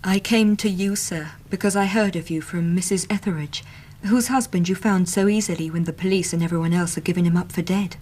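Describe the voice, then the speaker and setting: An English actress playing an earnest, talkative young Victorian typist in a radio drama, chatty and plain-spoken. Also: female voice, on the low side, fairly even intonation, brisk, a little mellow, a little hiss or hum, natural voice. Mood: pleading, earnest.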